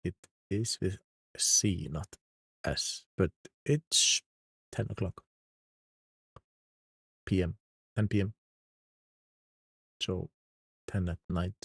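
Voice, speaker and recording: A man's voice: deep voice, drawn-out words, vocal fry, long pauses, a bit bright, breathy edge, no echo, studio quality. A man from Iceland with an Icelandic accent, a native Icelandic speaker, chatting casually on a live stream, reading out and greeting viewers one by one. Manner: reads chat aloud and greets viewers one by one.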